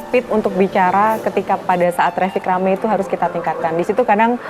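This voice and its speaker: A woman with a medium-pitched voice explains her work in an interview. She has an Indonesian accent, pauses often and drops in English jargon, with music clearly behind her. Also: steady tone. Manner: hesitant, mixes in English terms. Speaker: air traffic controller.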